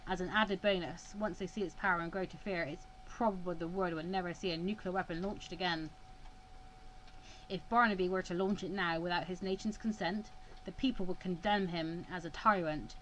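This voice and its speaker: A woman voicing a cold, self-assured male mediator in measured, formal visual novel dialogue. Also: on the low side, steady tone, a little hiss or hum, lightly acted, rough recording. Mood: calculating, certain.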